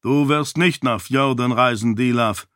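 A man's voice: medium pitch, a little slow, fairly bright tone, no room sound, studio quality, natural voice. A man voicing a stern male lord with a curt, commanding manner.

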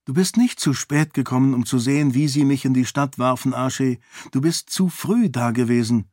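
A man's voice: mid-range voice, normal speed, darkish tone, dry recording, clean studio sound, close to an everyday voice. A man voicing a determined, affectionate young princess in an audiobook story. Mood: matter-of-fact.

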